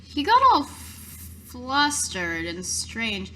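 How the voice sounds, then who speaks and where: Female tabletop player, casual improvised role-play, higher register, crisp forward tone, slight noise behind. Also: very animated, drawn-out words, sharp tone.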